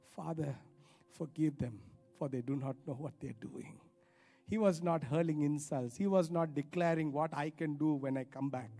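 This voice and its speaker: Middle-aged man, high-pitched voice, emphatic preaching, repeated phrases, handheld mic on a church stage. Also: a little mellow. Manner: emphatic, repeats key phrases, rhetorical tag questions. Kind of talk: sermon. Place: large church auditorium stage, indoors. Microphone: handheld microphone.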